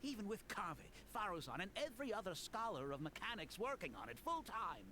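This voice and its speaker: High-pitched, formal male scholar. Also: very animated, fairly quick, bright, forceful tone, a little hiss or hum, exaggerated voice. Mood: earnest.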